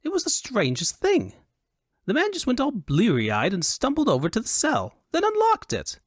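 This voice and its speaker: High-pitched flamboyant, foppish nobleman. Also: wildly swooping pitch, moderate pace, no room sound, studio quality, exaggerated voice. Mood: excited, bewildered. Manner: affected, haughty, theatrical delivery. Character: flamboyant foppish Elvunian nobleman, excitable, vain, dim. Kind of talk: audiobook character acting.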